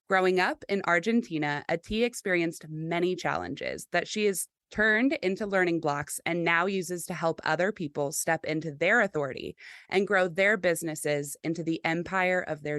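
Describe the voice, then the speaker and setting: A woman's voice: on the low side, a bit bright, dry recording, studio quality. A young adult woman hosting a podcast, reading an intro and interviewing a guest in an encouraging, gushing manner. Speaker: podcast host. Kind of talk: scripted intro then casual interview.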